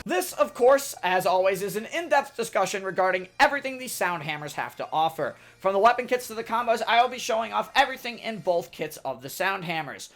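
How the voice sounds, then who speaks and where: Loud, animated young man explaining a game. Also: high voice, a little fast, few pauses, soft background music. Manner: loud, energetic delivery. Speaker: gaming YouTuber giving a weapon guide. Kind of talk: scripted game tutorial narration.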